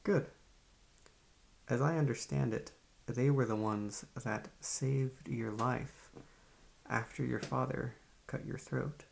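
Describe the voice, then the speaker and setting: A man as a slow, gravelly, soft-spoken psychiatrist. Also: medium pitch, fairly even intonation, a little hiss or hum. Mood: calm, courteous. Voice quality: creaky, husky. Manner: measured, polite, clinical, soft-spoken. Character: calm, formal, probing male psychiatrist interviewing a young patient. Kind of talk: ASMR roleplay, soft-spoken scripted drama.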